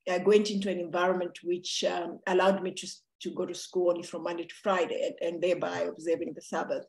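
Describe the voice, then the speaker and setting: A woman's voice: on the low side, little pitch movement, quick pace, vocal fry, reverberant. A middle-aged woman from Zambia, raised in Congo, with Kinyarwanda as her first language, telling her life story as a podcast guest on a video call from her home office, chatty and laughing often. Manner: warm, laughs often, many restarts. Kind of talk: casual interview storytelling. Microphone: video call computer mic.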